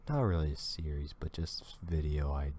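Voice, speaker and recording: A man with a deep voice giving casual reaction commentary on a video, rambling and restarting his thoughts. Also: moderate pace, a little mellow, a little hiss or hum.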